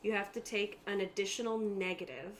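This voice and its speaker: A woman's voice: medium pitch, a little fast, slight background noise. A woman game master explaining tabletop rules to players in an informal way and reading out lists of examples.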